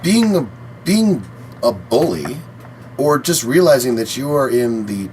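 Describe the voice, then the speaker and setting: A man's voice: mid-range voice, big pitch swings, a little slow, darkish tone, slight background noise, poor audio. A man co-hosting a podcast, rambling loosely through a casual chat and swearing freely. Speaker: podcast co-host.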